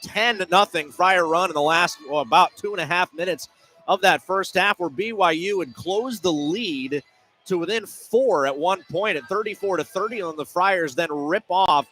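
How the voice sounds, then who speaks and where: A man's voice: high-pitched, normal speed, bright, forceful tone. A man working as a basketball broadcaster, talking through scores and game news in a live sports radio manner. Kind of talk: live basketball halftime commentary and off-air chat.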